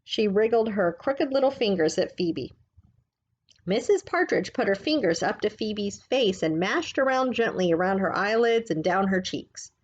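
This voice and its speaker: A woman reading a book aloud like a teacher, in a gentle, measured, explanatory way. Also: mid-range voice, lively intonation, highs cut off.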